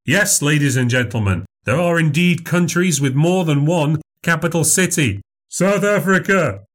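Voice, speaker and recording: A man's voice: fairly high pitch, lively intonation, moderate pace, voice pushed up, close to an everyday voice. A man voicing a formal, eager memory showman who keeps calling people sir and madam. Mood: showy, polite. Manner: showy, overly polite, says "sir" and "madam".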